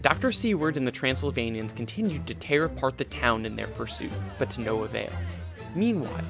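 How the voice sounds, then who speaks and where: A measured storyteller narrating a radio adventure. His mid-pitched voice has a gravelly fry, and faint music runs beneath it. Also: expressive, fairly bright tone. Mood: measured, storytelling. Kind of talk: scripted radio drama narration.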